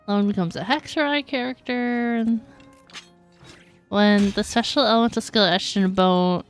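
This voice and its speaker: A woman's voice: medium pitch, measured pace. Casual woman game streamer over music. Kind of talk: casual livestream chat over gameplay.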